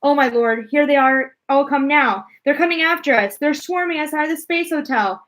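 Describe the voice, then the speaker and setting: A woman voicing an excitable, folksy male astronaut from a storybook, gushing as he reports. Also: high-pitched, moderate pace, voice pushed up. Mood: alarmed. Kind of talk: storybook read-aloud character voice.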